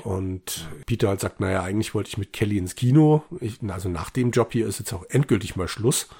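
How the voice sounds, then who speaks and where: A man's voice: lowish voice, a little fast, creaky, mellow, husky. A man co-hosting a podcast, casually retelling an audio drama with appreciation and quoting lines from it. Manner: appreciative, quotes characters' lines while retelling. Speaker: podcast co-host.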